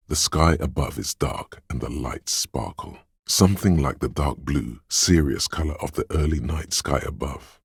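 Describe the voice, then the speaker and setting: An actor voicing the observant inner voice of a detective in a game, speaking in second person with wry, dry teasing. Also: male voice, a bass voice, husky, dry recording, exaggerated voice. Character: inner perceptive voice, observant adult male.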